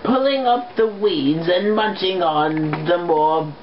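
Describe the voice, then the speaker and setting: A woman reading a story aloud as an amateur, halting and stumbling over harder words. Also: on the low side, highs cut off, background noise. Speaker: amateur fan fiction reader.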